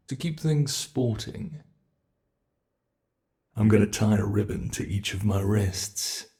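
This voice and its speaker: A man voicing a sinister, playful monster in an ASMR horror roleplay, gravelly and sometimes breathy, taunting with theatrical, unhurried menace. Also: fairly low pitch, a little mellow. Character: sinister playful male monster hunting its captive.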